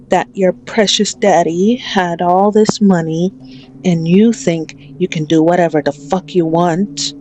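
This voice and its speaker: A menacing female mafia boss, acted by a woman, speaks extremely slowly in a slightly low register. She is mocking, condescending and possessive, in the manner of an ASMR roleplay villain. There is noticeable noise and ambience behind her voice. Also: darkish tone, poor audio.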